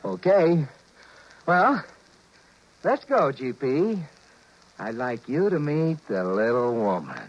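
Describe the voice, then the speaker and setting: A man's voice: fairly high pitch, very animated, takes it slowly, pauses often. An American man playing a wry, scheming married businessman who tells his own story in a 1950s radio drama. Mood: eager. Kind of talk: 1950s radio drama acting with first-person narration. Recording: dull, noisy 1950s radio recording.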